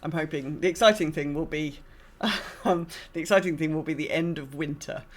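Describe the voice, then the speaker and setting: A woman co-hosting a podcast in casual chat, talking in a halting, self-deprecating way. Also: deep voice. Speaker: podcast co-host.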